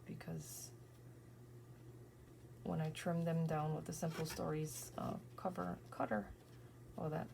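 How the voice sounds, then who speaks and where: A woman in her thirties talks quietly through her scrapbooking, her low voice worn and heavily gravelly from an early morning. She muses to herself and slips into whispers now and then. A steady hiss sits under the recording. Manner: soft-spoken, thinking aloud, often drifting into whispers. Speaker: scrapbooking crafter. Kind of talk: casual crafting vlog narration.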